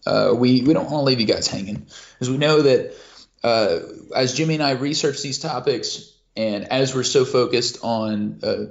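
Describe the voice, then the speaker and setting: A man's voice: medium pitch, normal speed. A man from Kentucky co-hosting a casual podcast, rambling with many restarts and fillers. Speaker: podcast co-host. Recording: lo-fi muffled audio.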